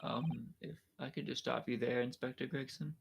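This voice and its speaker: An earnest young defense lawyer who makes his points politely, with a few hesitant starts, as a player reads him. His voice sits mid-range with a gravelly edge. This is game dialogue, voiced as a fan dub. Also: medium pitch, fairly even intonation, fairly quick, noisy background, lightly acted.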